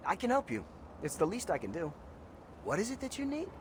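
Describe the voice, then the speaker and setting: A high, animated voice of a timid captive in a video game. The slave sounds earnest, grateful and astonished, with faint noise behind. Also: male voice, fairly quick. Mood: amazed, grateful. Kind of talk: video game character dialogue.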